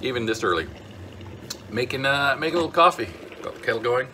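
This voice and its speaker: A man's voice: mid-range voice, animated, fast, bright, forceful tone, slight background noise. A middle-aged man from the United States, recording a wry, chatty video diary on a phone held at arm's length in a hotel room. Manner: chatty, wry, self-mocking storyteller. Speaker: vlogger. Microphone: phone camera at arm's length.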